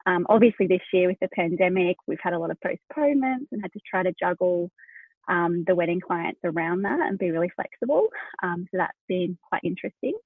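A woman's voice: lowish voice, animated, brisk, breathy edge, no echo, narrow, tinny audio. A pregnant Australian woman in her thirties, a wedding photographer, chatting as a podcast guest with lots of fillers and restarts. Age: 30s. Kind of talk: casual interview chat.

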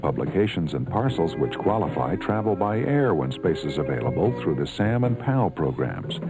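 A man's voice: deep voice, sing-song, moderate pace, loud music behind the voice, poor audio. A man reading a scripted informational radio spot in a polished, formal announcer style.

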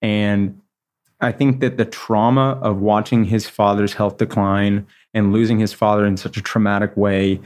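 A man's voice: on the low side, flat delivery, normal speed, darkish tone. A man from Texas, an interview guest telling his story in an earnest, friendly, thoughtful way. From Texas, USA. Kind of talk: conversational interview.

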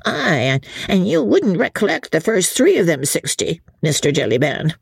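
A man voicing Mister Hempseed, a doleful old male villager, who speaks in a slow, mournful drawl. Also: sits high, sing-song, creaky, breathy edge, no room sound, studio quality, pitched above the performer's own voice, exaggerated voice. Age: 70s.

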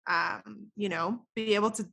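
A woman's voice: medium pitch, fairly even intonation, normal speed, vocal fry, fairly bright tone. A woman, chatting as a podcast guest, laughing often and filling her talk with casual fillers. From the Bahamas. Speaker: guest artist.

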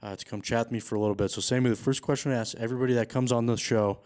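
A man's voice: mid-range voice, steady tone, very fast, a little mellow, no room sound, studio quality. A man hosting a sports podcast, talking in a casual, laid-back, conversational way. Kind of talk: casual sports show commentary and interviewing.

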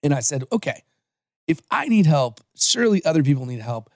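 A man's voice: a little high, very animated, a little fast, dry recording. Upbeat storytelling American man, dry sound. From Los Angeles, USA. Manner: upbeat, storytelling, frequent restarts. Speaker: podcast guest. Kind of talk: conversational interview.

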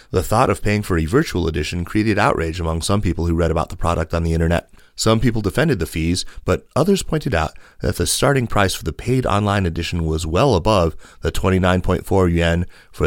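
Low voiced guy reading news stories for a podcast, formal and measured, really dry sound no room. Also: dry recording. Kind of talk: scripted news narration.

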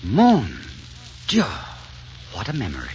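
An actor playing a proud, gallant, mocking French prince in theatrical, witty 1940s radio drama style. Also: male voice, medium pitch, wildly swooping pitch, slow, warm tone, slight background noise. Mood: amused. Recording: muffled 1944 radio broadcast with music.

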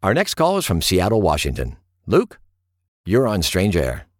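An actor playing a calm, attentive male late-night radio host, measured and courteous as he probes his callers. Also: sits low, dry recording, clean studio sound, exaggerated voice. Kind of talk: radio call-in acting in audio drama.

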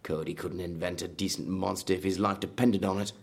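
An actor playing a brash theme park designer, husky and animated, relishing gruesome details in an audio drama. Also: male voice, sits low, a little fast, full character voice. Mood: proud, reminiscing. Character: brash, morbidly enthusiastic male designer of a dangerous theme park.

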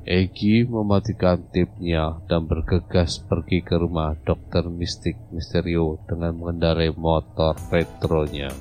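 A man's voice: sits low, flat delivery, unhurried, darkish tone, muffled recording, soft background music. A man narrating a scripted audio drama as a podcast storyteller.

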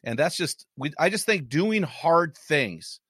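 A man's voice: fairly high pitch, animated, unhurried, fairly bright tone, husky, no room sound. Upbeat male interview host, dry sound. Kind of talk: casual interview chat.

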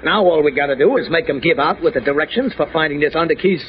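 A man's voice: on the high side, fast, slight background noise, natural voice. An actor playing an impatient, slangy, skeptical male tough-guy sidekick in a 1940s radio serial, blunt and exclamatory. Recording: dull old radio broadcast recording.

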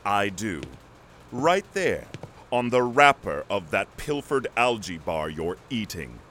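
A man's voice: fairly low pitch, very animated, takes it slowly, a bit bright, full character voice. A man playing a smug, officious company agent, a villain who speaks with formal, menacing politeness and bureaucratic precision.

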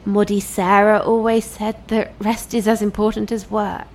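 A woman acting a concerned, gently probing friend and busy mother in an audio drama. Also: medium pitch, little pitch movement, moderate pace, slight background noise, natural voice. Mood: concerned, gentle.

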